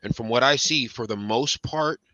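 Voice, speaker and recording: A man from Chicago with a bright, forceful voice chats casually, restarting sentences often. He gets animated and climbs in pitch when he makes a point. The sound is close and dry, with no room echo. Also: moderate pace, dull audio. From Chicago, raised in Indiana. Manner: talks in fragments with restarts. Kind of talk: casual conversation.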